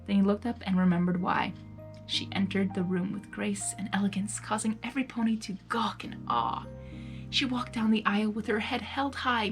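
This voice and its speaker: A woman reading a story aloud with excitable, giggly, rambling casual commentary. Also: mid-range voice. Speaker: host of fan fiction reading videos.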